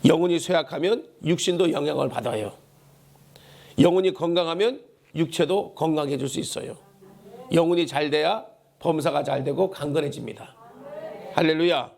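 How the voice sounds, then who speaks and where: Male pastor, high voice, hoarse and gravelly, emphatic sermon delivery with repeated key phrases. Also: lively intonation, a little fast, a little mellow. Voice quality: hoarse, worn voice. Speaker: pastor preaching a Sunday sermon. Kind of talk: Korean church sermon with call-and-response prompts. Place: church service.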